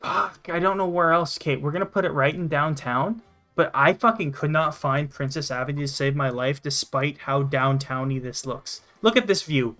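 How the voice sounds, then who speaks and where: A man's voice: on the high side, normal speed, sharp tone, faint music behind. A man from Canada hosting a gaming show with casual, sweary gameplay commentary. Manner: swears freely.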